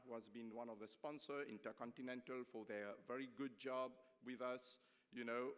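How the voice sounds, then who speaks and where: Halting man speaking in a reverberant hall. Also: medium pitch. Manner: halting, repeats and rephrases himself. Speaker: conference organiser giving closing remarks. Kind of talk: informal closing speech to a conference. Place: large conference hall. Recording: echoey hall with long reverb.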